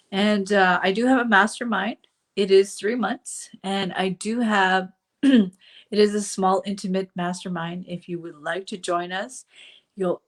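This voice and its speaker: A middle-aged woman talking to her viewers in a casual live stream, her pitch sitting in the middle range. She chats loosely, with plenty of fillers and asides. Her voice is slightly hoarse from a cold. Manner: chatty, with frequent fillers and asides. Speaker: coach hosting a live stream.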